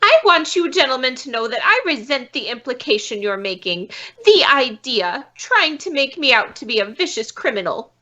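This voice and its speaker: A woman's voice: high-pitched, very animated, moderate pace, pushed and bright, highs cut off, full character voice. A woman playing a bitter, indignant forger, a failed actress who poses as an old lady, in a radio police drama. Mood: indignant, defiant.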